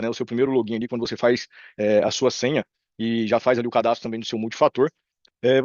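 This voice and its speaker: Casting: Brazilian male presenter, Brazilian Portuguese accent, chatty and quick, informal tutorial delivery, close dry sound with slightly lo-fi tone. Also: medium pitch, no room sound. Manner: informal, chatty, checks in with listeners. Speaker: presenter demonstrating software. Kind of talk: informal live screen-share tutorial. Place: indoor room on a video call.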